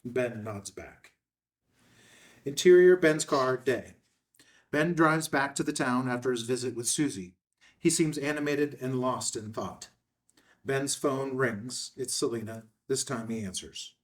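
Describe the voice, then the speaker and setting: A man's voice: mid-range voice, a little slow, recorded from a distance. A man reading screenplay stage directions as scripted narration. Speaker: stage directions reader at a table read.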